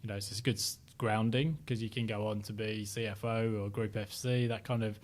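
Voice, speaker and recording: A middle-aged man from Sheffield with a softened northern English accent, talking as an interview guest, reflective and full of ums and restarts. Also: on the low side, fairly even intonation, fairly quick. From Sheffield, South Yorkshire, England. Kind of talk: casual interview chat.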